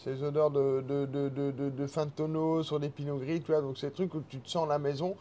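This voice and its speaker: Alsatian guy talking super fast, warm mellow tone, stumbles over his words, bit of background hiss. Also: a little high, little pitch movement. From Alsace. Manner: quick, stammering repetitions, joking. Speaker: winemaker guest. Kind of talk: casual chat at a wine tasting.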